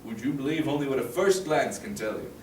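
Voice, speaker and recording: A man's voice: mid-range voice, animated, brisk, a little mellow, slight background noise, close to an everyday voice. A man voicing a proud, boastful aging hero at a table read, declaiming in a roomy room with a distant mic.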